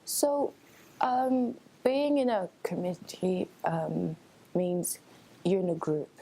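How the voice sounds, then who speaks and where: Young woman with a mellow, soft-edged voice. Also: on the low side, takes it slowly, gravelly, rough recording. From Switzerland. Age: young adult. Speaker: student union election candidate. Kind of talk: informal spoken interview answers.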